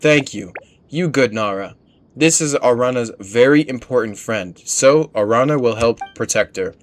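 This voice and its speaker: Man as a deliberate little forest spirit. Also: medium pitch, lively intonation, slight background noise, natural voice. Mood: earnest, solemn. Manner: simple broken phrasing, deliberate. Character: small gentle forest spirit, earnest and solemn.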